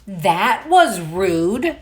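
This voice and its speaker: A woman's voice: medium pitch, sing-song, drawn-out words, soft background music. A woman voicing a mild, observant storybook troll who speaks in a plain, polite way. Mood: matter-of-fact.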